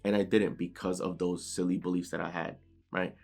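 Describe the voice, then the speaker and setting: Deep-voiced New York man, steady monotone. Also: fairly quick, vocal fry. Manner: casual, repeats "right?" often, occasional stutters. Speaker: motivational YouTuber. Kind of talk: unscripted motivational monologue. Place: bedroom. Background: low background music.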